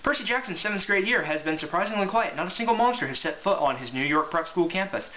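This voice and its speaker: A man's voice: high voice, expressive, fast. A man talking casually and reading aloud in an informal, offhand way. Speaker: amateur book reviewer and reader. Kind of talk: casual rambling review and book read-aloud. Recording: echoey room, muffled, faint hiss.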